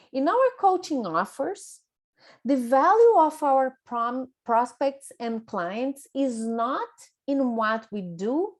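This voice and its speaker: A woman from Brazil with a Brazilian Portuguese accent, a native Portuguese speaker presenting a coaching workshop over a video call, speaking carefully and deliberately and correcting herself now and then. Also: fairly high pitch, darkish tone. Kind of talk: prepared online presentation.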